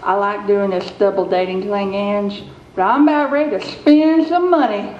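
A woman's voice: mid-range voice, moderate pace, lightly acted. Woman playing a blunt country girlfriend, echoey. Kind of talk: staged comic radio-show reading. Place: theater stage before an audience. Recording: muffled echoey hall, faint noise.

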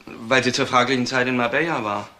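Man playing a sharp, factual police investigator. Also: medium pitch, steady tone, brisk, lightly acted. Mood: reasoning. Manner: precise, factual. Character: male junior police investigator, brisk and factual. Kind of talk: crime radio drama acting.

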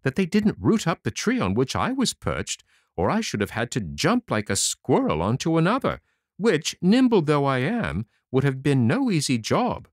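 A boastful little tailor with a raised, lively voice, swinging up and down as he brags, put on by a man reading a storybook. The recording is dry and close, with no room sound. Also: fairly high pitch, normal speed, no echo, pitched above the performer's own voice. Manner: cocky and bragging.

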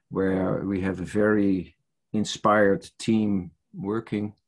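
Older man, Dutch accent, low gravelly voice, halting with fillers, video call from home. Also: darkish tone. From Netherlands. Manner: hesitant, many fillers and restarts. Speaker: church leader interviewee. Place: home study with bookshelves. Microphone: headset on a video call.

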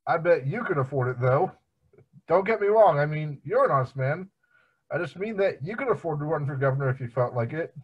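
Casting: man playing dim, amiable cartoon dad, husky and lively, bumbling, on a muffled video call. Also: fairly high pitch, brisk. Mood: rambling. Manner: bumbling, rambling. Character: dim, amiable male working-class family man. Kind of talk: amateur table read of a cartoon comedy.

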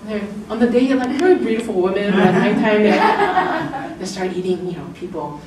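Middle-aged woman presenter, chatty and laughing, recorded from far off in an echoey lecture room with steady noise. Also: normal speed. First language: English. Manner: chatty, laughing, acting out quoted lines with pitch jumps. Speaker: author and illustrator giving a book talk. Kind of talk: informal presentation. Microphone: far camera in the room. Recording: roomy, distant camera audio with noise.

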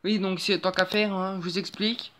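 A woman's voice: medium pitch, steady tone, fairly quick, a bit bright. A young woman hosting her own channel, chatting casually over a phone screen recording with lots of fillers and restarts. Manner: rambling, hesitant, many fillers and restarts. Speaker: channel host.

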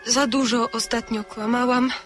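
An actress playing a despairing young woman in a radio play, calm and resigned. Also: fairly high pitch, moderate pace, faint music behind, natural voice. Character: despairing young woman writing a farewell letter.

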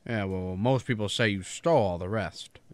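An actor playing an earnest, kindly small-town man in a radio drama, folksy and a little halting. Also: lowish voice, no echo, close to an everyday voice. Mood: wary, polite.

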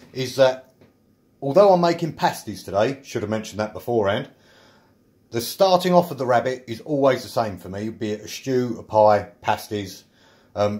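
A man's voice: mid-range voice, darkish tone. A man chatting casually through a home cooking video, explaining each step with self-mocking asides. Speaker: home cook. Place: small home kitchen.

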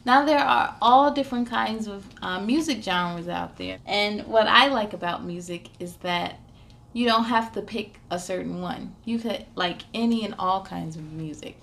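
A woman's voice: mid-range voice, moderate pace, creaky, a bit bright. A young woman hosting a children's read-aloud in a casual, encouraging way, often putting questions to the listener. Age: young adult. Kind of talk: casual, child-directed story time chat. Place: small furnished room at home, indoors.

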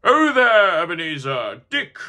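A man voicing a jovial, fat old male merchant who calls out loudly and merrily. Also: very animated, measured pace, heavily acted. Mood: jovial shouting. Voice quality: loud, booming calls. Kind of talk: reading a classic novel aloud.

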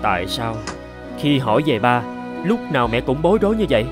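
A man playing a resentful, ambitious teenage son from a poor home in an animated fairy tale, with an accusing, impatient manner. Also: medium pitch, brisk, music in the background, lightly acted. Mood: tense, bitter.

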